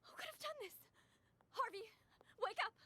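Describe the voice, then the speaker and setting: A woman's voice: very high-pitched, expressive, normal speed, bright, forceful tone, no echo, full character voice. A woman playing an anxious, insistent young stage actress in an audio drama. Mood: distressed. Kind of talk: interactive radio drama acting.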